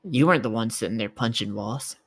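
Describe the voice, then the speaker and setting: A man's voice: mid-range voice, fairly quick, a bit bright, slightly rough. A man playing a tabletop roleplay, chatting casually in a slangy, sweary way. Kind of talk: casual chat during a game.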